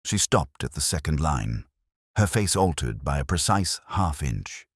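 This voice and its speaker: Very deep-voiced male mystery narrator. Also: sing-song, unhurried, breathy edge, dry recording, studio quality. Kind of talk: scripted audiobook-style mystery narration.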